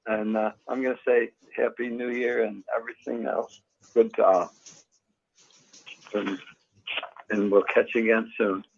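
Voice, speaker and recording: An older man calling in to a group chat, talking in a folksy, warm and effusive way. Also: lowish voice, level tone, measured pace, gravelly, pauses often, noisy background. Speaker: caller. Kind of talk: casual call-in chat. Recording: muffled call audio.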